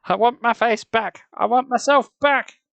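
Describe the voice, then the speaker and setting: Reader putting on a frantic little girl, loud shrill cries, desperate begging, much higher pitch. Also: normal speed, gravelly, no room sound, dull audio. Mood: pleading. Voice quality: shrieking, pleading. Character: panicked young girl trapped in a monster mask. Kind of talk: storybook reading.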